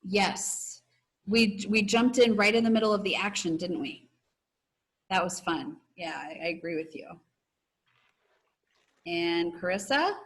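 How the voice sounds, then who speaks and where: A woman's voice: lowish voice, measured pace, pauses often. A woman teacher with an encouraging way of talking, speaking to her pupils as she would in a read-aloud class. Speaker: teacher reading aloud and leading class discussion. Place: online video class. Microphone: video call.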